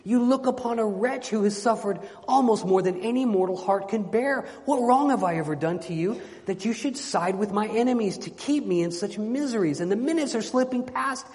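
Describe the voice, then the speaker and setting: A man voicing an anguished, bound knight under enchantment in a storybook reading, pleading forcefully in formal, old-fashioned speech. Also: a little high, animated, normal speed, close to an everyday voice. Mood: desperate pleading. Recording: long hall reverberation.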